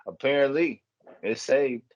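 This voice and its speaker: A man's voice: mid-range voice, a little slow. A man hosting a podcast in a casual, conversational style. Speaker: podcast host. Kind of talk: casual chat.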